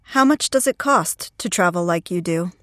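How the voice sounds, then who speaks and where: A woman's voice: on the low side, lively intonation, moderate pace, a bit bright, no room sound, clean studio sound. A woman interviewer reading scripted questions and introductions for a listening exercise.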